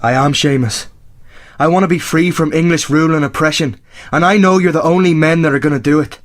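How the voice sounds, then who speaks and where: An actor with a Northern Irish accent playing an earnest, determined young republican volunteer in a radio play. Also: male voice, on the high side, brisk, no room sound, studio quality, lightly acted. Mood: resolute. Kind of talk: radio drama monologue and dialogue.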